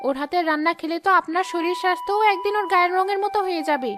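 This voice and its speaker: A woman's voice: very high voice, very fast, noisy background. A woman playing a vain, spiteful young sister-in-law in a melodramatic cartoon, haughty and taunting. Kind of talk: melodramatic cartoon story dialogue.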